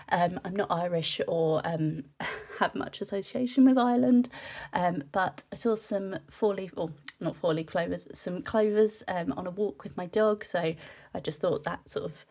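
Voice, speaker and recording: A young woman from the UK, a scrapbooking vlogger narrating casually, her voice nasal from a cold, chatting in a relaxed way with frequent ums. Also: mid-range voice. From United Kingdom.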